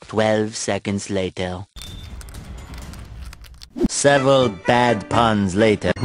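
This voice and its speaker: A performer voicing a deep-voiced cartoon narrator who announces time skips in a slow, dramatic way. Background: background music.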